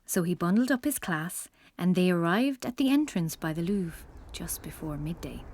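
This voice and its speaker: A woman's voice: on the low side, expressive, normal speed, darkish tone. A woman reading scripted narration for a children's story as its narrator.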